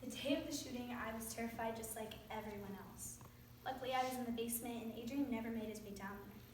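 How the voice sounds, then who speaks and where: A woman's voice: fairly high pitch, fairly even intonation, quick pace, close to an everyday voice. A woman acting an earnest, reflective shooting survivor in a readers theater monologue. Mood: earnest, troubled. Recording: very echoey room, faint noise.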